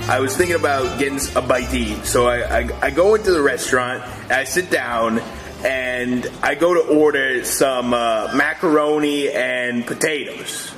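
A man's voice: mid-range voice, natural voice. Man playing an aggrieved New storyteller over music. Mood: matter-of-fact. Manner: halting, repetitive, exasperated. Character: aggrieved, indignant Italian-American Bronx guy telling his tale. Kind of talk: monologue in amateur radio comedy sketch.

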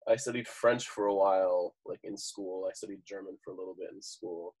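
A man's voice: low-pitched, fairly even intonation, brisk, darkish tone, slightly rough, low-quality recording. A man who works as a bartender, chatting casually and explaining at length with lots of fillers. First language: English. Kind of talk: casual conversation.

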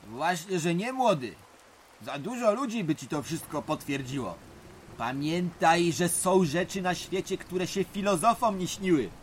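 An actor playing an older village uncle telling folksy, dramatic memories and ghost tales in an audio drama. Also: male voice, sits high, animated, unhurried, slight background noise. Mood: emphatic. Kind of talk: audio drama acting, reminiscing narration.

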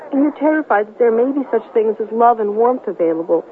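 A woman's voice: fairly high pitch, animated, fast, close to an everyday voice. An actress playing a hurt but firm young ex-girlfriend in a radio drama, earnest and emphatic. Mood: hurt, accusing. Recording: tinny narrowband radio broadcast with faint noise.

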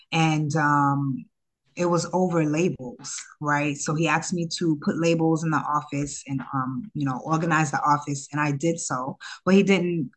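A woman from Ghana who was raised in Harlem, telling her own story as an interview guest in a casual, halting way. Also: low voice. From born in Ghana, raised in Harlem, New York. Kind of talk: casual conversational interview answers, personal storytelling.

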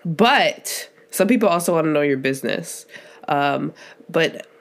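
A woman's voice: sits low, animated, measured pace. A woman hosting a podcast in a casual, conversational way, addressing listeners in an informal, folksy style. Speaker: podcast host interviewing her father.

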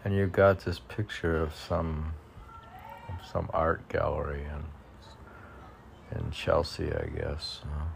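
An older man rambling through a solo podcast and poem readings, halting and musing, often questioning himself aloud. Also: very deep voice, little pitch movement, creaky, a little hiss or hum. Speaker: poet reading own poems on a podcast.

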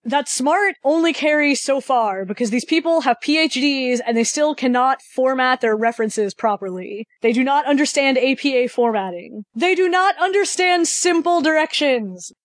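A woman's voice: high-pitched, moderate pace, hardly any pauses, no room sound. A woman co-hosting a podcast, talking in an emphatic, exasperated way in casual chat. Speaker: podcast co-host.

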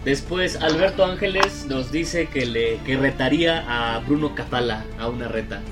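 A man hosting a casual talk, reading out listener questions in a relaxed, filler-filled way. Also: medium pitch, moderate pace, over music. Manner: relaxed, filler-heavy, conversational. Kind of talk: casual interview chat.

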